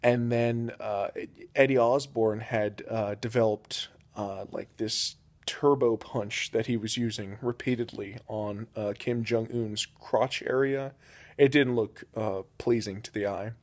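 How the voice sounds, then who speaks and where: Rambling deadpan young male storyteller. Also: lowish voice, a little slow. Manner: rambling, many uh fillers, deadpan delivery. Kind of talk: improvised comedic storytelling.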